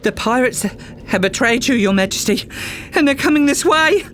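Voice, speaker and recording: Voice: high voice, lively intonation, normal speed, fairly bright tone, a little hiss or hum, voice pushed up. A storyteller voicing a nervous, deferential palace guard in a children's tale, anxious and urgent, with music behind. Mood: panicked warning. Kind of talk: storybook read-aloud character voice. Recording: background music.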